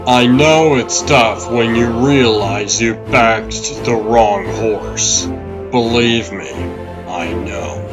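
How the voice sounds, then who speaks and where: A man playing a dogged, world-weary police detective who needles calmly in a comic dub. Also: mid-range voice, very slow. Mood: calm, needling. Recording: music behind the voice.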